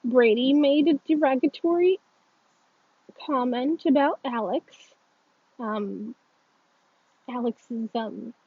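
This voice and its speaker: Woman acting as a stern school principal, high-voiced. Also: slow, long pauses, slightly rough, no room sound, narrow, tinny audio, full character voice. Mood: firm, formal. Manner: firm, authoritative. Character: stern, formal female school principal, reproachful.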